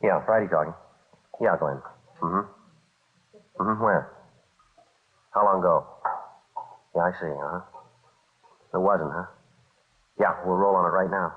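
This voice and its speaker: Low, clipped deadpan radio detective. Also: male voice, unhurried, long pauses, breathy edge. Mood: businesslike. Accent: American. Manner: clipped, deadpan, matter-of-fact. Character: terse, methodical male police detective narrating his case. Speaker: narrator and lead actor. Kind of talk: 1950s American radio crime drama acting. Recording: dull old radio broadcast, music behind.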